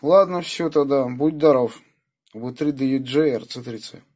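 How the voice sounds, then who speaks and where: A man with a sore throat from a cold, chatting in a husky, subdued way over FM radio. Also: normal speed, a crowd in the background. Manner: low-key, subdued. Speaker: amateur radio operator. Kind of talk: casual radio chat. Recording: FM two-way radio transmission.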